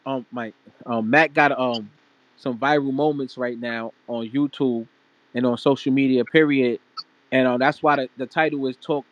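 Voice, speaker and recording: A man's voice: medium pitch, no room sound. Guy hosting an audio chat room, slangy and informal, repeats himself, close dry sound, kinda muffled lo-fi. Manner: informal, repetitive, addresses listeners directly. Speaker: host of a live audio room. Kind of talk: casual hosting, street slang.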